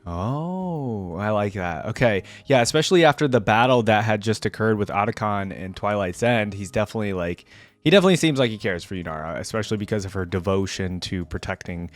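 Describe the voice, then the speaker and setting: A man's voice: lowish voice, animated, a little fast. A man hosting a reaction video, commenting casually and appreciatively in an informal, musing way.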